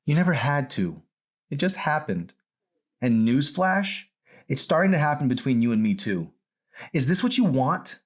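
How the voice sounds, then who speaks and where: A man's voice: fairly high pitch, very animated, normal speed, pitched above the performer's own voice. A man voicing an angry, wounded teenage daughter in an audiobook, pleading and accusing with heavy emphasis. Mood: bitter. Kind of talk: dramatised audiobook reading.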